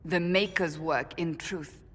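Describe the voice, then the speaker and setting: An actress playing a stern, devout woman warrior in a video game cutscene, speaking gravely and formally. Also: lowish voice, fairly even intonation, fairly bright tone. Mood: earnest resolve. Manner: grave, formal, deliberate phrasing. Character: stern, devout woman warrior of a holy order, troubled and earnest. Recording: reverberant hall, faint background noise.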